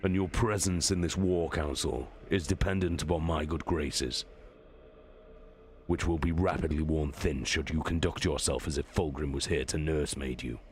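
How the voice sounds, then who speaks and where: An extremely low, imperious warlord performed by a man reading aloud, stern and menacing. He commands haughtily, as in a dramatic sci-fi audiobook, over clear background noise. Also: steady tone, moderate pace. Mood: cold threat.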